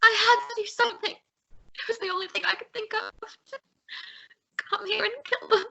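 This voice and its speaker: A woman plays a panicky, hot-tempered young woman who pleads and denies everything. Her voice is very high, bright and shrill. It has a gravelly edge. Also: fairly even intonation, normal speed, heavily acted, low-quality recording. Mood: pleading. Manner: pleading, defensive. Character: frightened fiery young woman.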